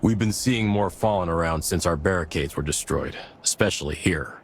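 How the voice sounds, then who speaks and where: A man's voice: low voice, normal speed, a little hiss or hum. An actor playing a firm, practical male guerrilla captain in a video game, direct and businesslike. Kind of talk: video game cutscene dialogue. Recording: music clearly behind the voice.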